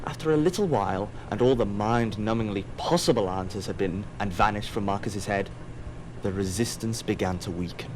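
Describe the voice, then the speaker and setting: A man's voice: lowish voice, brisk, darkish tone, a little hiss or hum, poor audio. A man giving scripted audio drama narration in a wry, theatrical manner.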